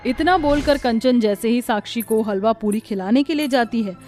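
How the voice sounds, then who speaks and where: A woman's voice: a little high, normal speed, darkish tone, soft background music. A woman reading scripted narration for a cartoon moral story.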